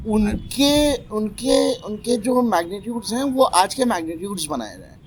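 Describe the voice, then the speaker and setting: Man, high voice, animated storyteller, casual podcast chat, faint background hiss. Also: normal speed. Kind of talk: casual conversation on poetry.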